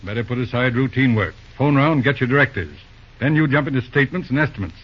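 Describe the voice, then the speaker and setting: An actor playing a shrewd, exacting older businessman uncle in an old-time radio drama, asking measured questions in a husky voice. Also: medium pitch, expressive. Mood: brisk, decisive. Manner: probing, measured questions with hums. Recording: dull, lo-fi old radio recording.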